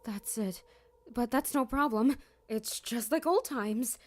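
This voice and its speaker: A woman's voice: fairly high pitch, takes it slowly, darkish tone. A woman voicing an earnest, devoted and anxious teenage girl soldier in a fan dub. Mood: resigned, wistful.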